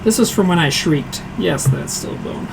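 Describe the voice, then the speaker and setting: Hoarse man chatting while cooking. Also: fairly high pitch, lively intonation, moderate pace, noisy background. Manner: chatty, self-deprecating, answers chat aloud while cooking. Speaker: streaming cooking show host. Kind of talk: casual cooking livestream chat. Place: home kitchen.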